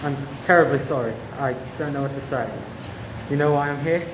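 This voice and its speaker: A man playing an earnest, restrained police detective in a radio play, polite and measured. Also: fairly high pitch, fairly even intonation, lightly acted. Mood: tender, regretful. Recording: echoey room with clear background hum.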